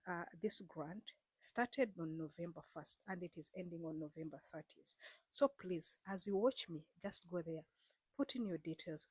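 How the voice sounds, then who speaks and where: A woman's voice: fairly low pitch, breathy edge, no echo. A woman vlogger speaking straight to her viewers in a casual, earnest way, repeating herself and coaxing them with frequent fillers. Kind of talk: casual direct-to-camera explainer.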